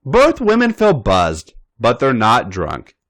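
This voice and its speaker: Man reading with sweeping, animated pitch. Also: slow. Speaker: podcast host and story reader. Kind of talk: scripted narration.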